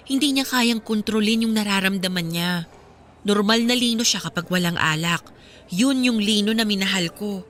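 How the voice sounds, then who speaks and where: A woman's voice: mid-range voice, fairly bright tone, natural voice. Actress as a subdued, weary young heartbroken woman. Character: weary, resigned young woman confiding about her breakup. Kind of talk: Filipino radio drama dialogue.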